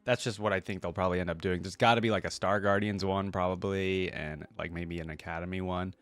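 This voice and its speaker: A man's voice: deep voice, fairly even intonation, a little fast, fairly bright tone, no echo. A man giving casual, off-the-cuff reaction commentary, thinking aloud in a rambling way with lots of "like" and "you know". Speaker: reaction video host.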